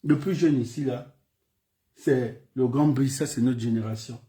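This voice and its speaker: A man's voice: medium pitch, a little slow, warm tone. A man from Gabon with a Gabonese French accent, giving an impassioned political speech full of repeated phrases and rhetorical questions. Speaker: political commentator.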